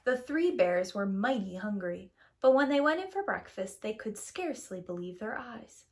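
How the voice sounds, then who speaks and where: A woman reading a storybook aloud to young children as a preschool teacher, with expressive, emphatic storytelling. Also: medium pitch, moderate pace.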